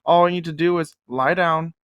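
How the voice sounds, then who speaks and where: A man's voice: a little high, steady tone, moderate pace, a bit bright, clear, resonant tone, no room sound, muffled recording. A young man hosting a casual comedic anime commentary, rambling with self-deprecating jokes muttered under his breath. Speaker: host.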